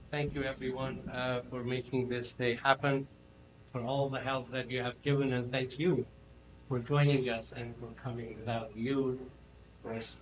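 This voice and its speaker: A man in his fifties whose first language is Kurdish, chairing a memorial roundtable at an academic conference in a reflective, measured, personal way. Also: mid-range voice, a bit bright, a little hiss or hum, low-quality recording. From Iran. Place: conference room.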